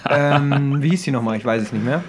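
A man co-hosting a podcast in casual chat, halting and drily joking. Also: mid-range voice, over a noisy crowd. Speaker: podcast co-host.

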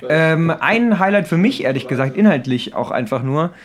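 Higher-voiced man chatting, voices around him. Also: lively intonation, normal speed, crowd noise. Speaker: podcast co-host. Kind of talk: casual podcast chat.

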